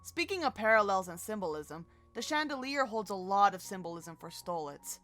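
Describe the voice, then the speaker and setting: A woman of average pitch presents scripted commentary as a video co-host. She slips in casual asides, and quiet music runs underneath her voice. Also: sing-song, moderate pace. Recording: music playing behind the voice. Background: music bed.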